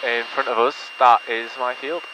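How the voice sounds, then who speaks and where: A man's voice: mid-range voice, steady tone, normal speed. Male vlogger talking over cockpit hum. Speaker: vlogger flying in a light aircraft. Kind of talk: casual chat. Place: small aircraft cockpit in flight. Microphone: aviation headset intercom. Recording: noisy light aircraft cockpit intercom. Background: engine hum and radio.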